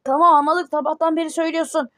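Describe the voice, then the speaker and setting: A woman voicing panicky kid characters in a homemade cartoon, with excitable exclamations. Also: high-pitched, racing, no echo, clean studio sound. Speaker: cartoon voice performer.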